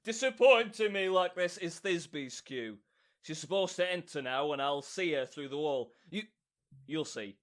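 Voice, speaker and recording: A man's voice: fairly high pitch, animated. A man playing a melodramatic amateur actor who hams up a tragic lover in an overwrought audio drama style. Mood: explaining. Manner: overwrought, drawn-out. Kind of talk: theatrical audio drama acting.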